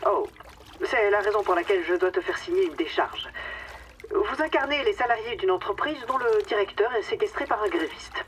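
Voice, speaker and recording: A menacing woman boss played by an actress swings through highly animated, mockingly sweet phrases. She is grandly condescending, in the theatrical style of a dark radio comedy. The voice sits back from the mic amid clear watery noise. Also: a little fast, lightly acted. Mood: mocking menace. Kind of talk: darkly comic radio drama acting. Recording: distant microphone, clear watery background noise.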